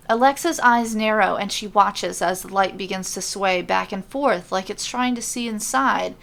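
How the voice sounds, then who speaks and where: Creaky-voiced woman narrating a story. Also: mid-range voice, fairly bright tone, a little hiss or hum.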